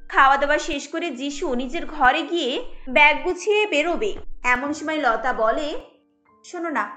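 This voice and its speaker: A woman with a very high, bright voice narrates a cartoon story. Her delivery is scripted storytelling, with soft music behind it. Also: expressive, moderate pace.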